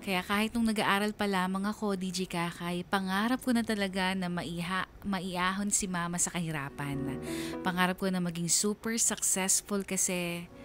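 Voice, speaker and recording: Woman acting wistful daughter narrator, Filipino accent, lowish voice, reflective, earnest, scripted radio drama, music bed. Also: normal speed, fairly bright tone, natural voice. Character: wistful daughter narrating her letter about her mother.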